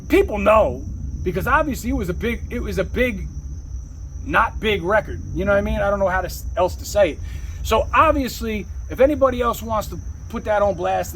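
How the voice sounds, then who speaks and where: A man with a husky voice telling stories casually as a rapper being interviewed, rambling and slangy, often saying "you know.". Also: high-pitched, a little hiss or hum, low-quality recording.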